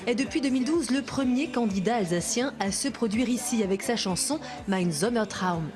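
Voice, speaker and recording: A woman presenter narrating a TV report in a clear, lively scripted delivery. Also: a little high, normal speed, over music.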